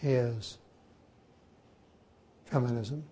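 A man's voice: medium pitch, extremely slow, warm tone, breathy edge. An older man, a Jesuit priest whose first language was Slovak, teaching a class informally and spelling words out letter by letter. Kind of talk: informal lecture and Q&A.

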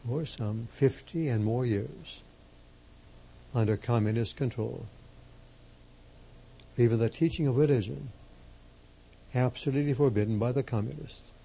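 Slow, gravelly-voiced priest preaching. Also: male voice, mid-range voice, mellow, poor audio. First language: Slovak. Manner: emphatic, measured, repeats key phrases. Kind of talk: slow deliberate sermon.

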